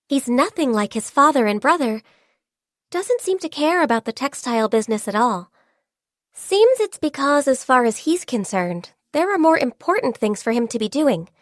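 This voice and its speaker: An actress playing a gentle, modest young woman secretary in a video game, soft-spoken, polite and hesitant, easily flustered. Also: high voice, no echo, studio quality. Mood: modest, reflective. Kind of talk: scripted video game character voice lines.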